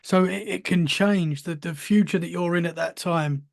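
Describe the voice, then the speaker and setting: A man's voice: high voice, normal speed, vocal fry, no echo, studio quality. A man hosting a podcast, asking casual questions and reacting with short remarks. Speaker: podcast host. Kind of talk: casual interview talk.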